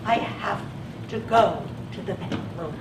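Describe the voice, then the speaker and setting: Actress as a slow, plaintive female patient. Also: mid-range voice, close to an everyday voice. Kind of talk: stage play acting. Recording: distant, echoey, noisy stage recording.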